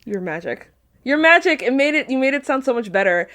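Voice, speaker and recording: A woman's voice: high-pitched, sing-song, a little fast, a bit bright. A talkative woman chatting casually as a podcast guest, with a playful, self-deprecating way of talking. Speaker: podcast guest, pop culture commentator. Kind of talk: casual chat.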